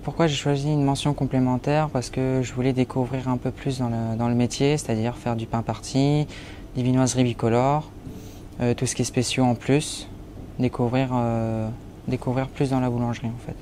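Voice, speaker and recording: A man's voice: medium pitch, little pitch movement, normal speed, mellow, faint music behind. A nineteen-year-old man, an apprentice baker, describing his training and goals with frequent hesitations. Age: young adult. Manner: hesitant, many fillers. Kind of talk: interview portrait.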